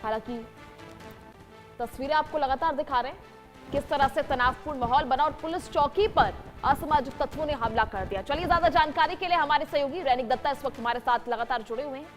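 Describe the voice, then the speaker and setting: A woman anchors a news broadcast in a high-pitched voice. Her delivery is formal and emphatic, the narration of a breaking report. Music plays faintly underneath her. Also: fairly quick.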